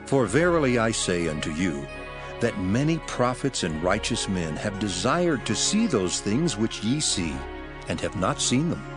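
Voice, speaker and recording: An actor playing Jesus as an earnest preacher teaching parables in a dramatized scripture reading, solemn and declamatory, with music under the voice. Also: male voice, mid-range voice. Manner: solemn, declamatory, measured phrasing. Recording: loud music bed under the voice.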